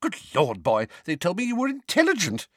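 A man acts out a fretful, fierce old lady from a storybook. The voice is very high and shrill, swooping wildly as she fusses and scolds. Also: big pitch swings, normal speed, fairly bright tone, dry recording, studio quality, exaggerated voice. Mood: flustered, impatient. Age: older. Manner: shrill, exclamatory, fussing. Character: flustered, scolding, indignant elderly woman, fretful and fierce.

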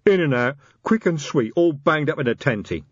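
A man's voice: a little high, expressive, moderate pace, dry recording, lo-fi sound, pitched above the performer's own voice. A man voicing a massive, stolid but cheerful troll police sergeant, blunt and literal, for an audiobook. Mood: happy, proud. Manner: blunt, literal, rough slangy grammar.

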